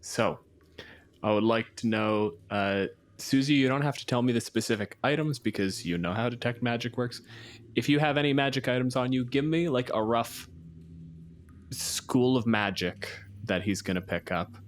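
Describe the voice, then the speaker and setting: A man's voice: mid-range voice, normal speed. A man acting as game master, casually improvising and questioning players in a tabletop game.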